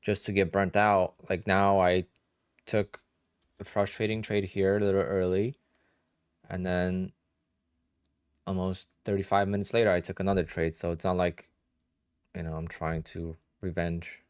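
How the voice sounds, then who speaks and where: A man's voice: low-pitched, flat delivery, a little slow, pauses often, clear, resonant tone, no room sound, clean studio sound. A man in his thirties from Poland with a Polish accent, chatting casually on a live trading stream and answering viewers, sounding tired and sleepy. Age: 30s. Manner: chatty, answers viewer questions with many "like"s and "you know"s. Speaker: day trader streaming live.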